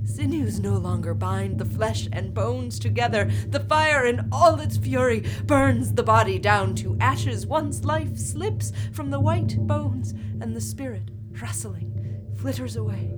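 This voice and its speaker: A woman's voice: a little high, animated. A woman playing the grieving ghost of an old mother, tender and solemn, in an audio drama of epic verse. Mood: sorrowful, consoling. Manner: slow, solemn, declamatory. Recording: loud music behind the voice.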